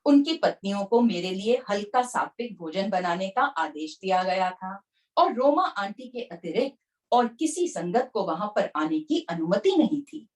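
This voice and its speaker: A woman's voice: medium pitch, big pitch swings, a little slow. A woman reading a devotional memoir aloud, recorded at some distance from the microphone. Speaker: book reader. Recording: distant, far from the mic.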